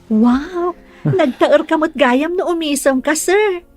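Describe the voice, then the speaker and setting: A young Ilocano caregiver in a radio drama, cheerful and teasing yet caring. Her voice sits fairly high, and she speaks frankly, with music playing underneath. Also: slow. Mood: teasing, playful.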